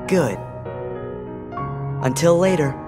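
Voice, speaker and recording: An actor playing an earnest, determined, warm-hearted teenage boy hero in a video game. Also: a little high, lively intonation, takes it slowly, music in the background. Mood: soft, resolute. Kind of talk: video game cutscene acting.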